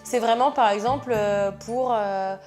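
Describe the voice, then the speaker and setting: A woman zookeeper speaks in interview with frequent hesitant pauses. Her voice sits a little high. Music plays underneath. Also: fairly even intonation, a little mellow. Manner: hesitant, many "euh" pauses. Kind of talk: documentary interview. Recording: background music.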